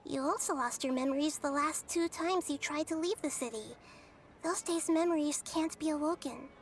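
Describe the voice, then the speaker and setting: A woman's voice: high-pitched, moderate pace, a little hiss or hum, close to an everyday voice. A woman voicing a young, shy, clever female tinkerer in a video game, with a modest, understated manner. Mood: thoughtful.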